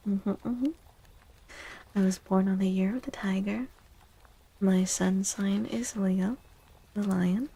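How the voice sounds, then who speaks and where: A woman speaking softly and gently in a breathy near-whisper, chatting casually as an ASMR streamer giving readings. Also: mid-range voice, rough recording. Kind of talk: soft-spoken ASMR, casual chat.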